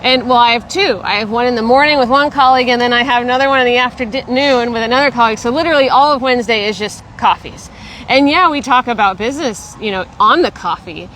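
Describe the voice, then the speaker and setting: Bright-voiced woman in casual chat. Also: a little high, fast talker, slight background noise. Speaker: podcast co-host.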